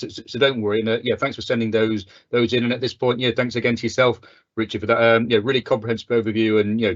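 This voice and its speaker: A man with a fairly low voice hosts an online careers webinar and hands over between speakers. He hesitates often, filling gaps with uhs and repeating words. The audio is a dull video-call recording. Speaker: event host.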